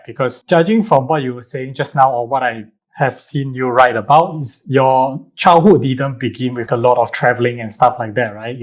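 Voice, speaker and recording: A man's voice: mid-range voice, rough recording. A man hosting a podcast, upbeat and chatty, asking long, rambling questions. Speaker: podcast host. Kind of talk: casual interview chat.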